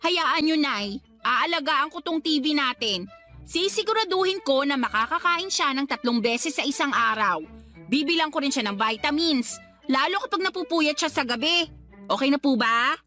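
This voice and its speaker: Young Filipina daughter, very high sharp voice, cheeky, teasing, sarcastic, radio drama. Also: female voice, lively intonation, normal speed. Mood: playful, teasing. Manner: sarcastic, playful. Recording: light background music under dialogue.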